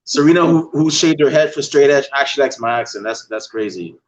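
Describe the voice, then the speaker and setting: A Guyanese man hosts a podcast in a loose, laid-back way, talking easily. His voice sits at an ordinary middle pitch. The audio is a little muffled and lo-fi. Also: brisk. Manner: relaxed, laid-back, uses y'all. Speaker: podcast host. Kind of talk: casual chat.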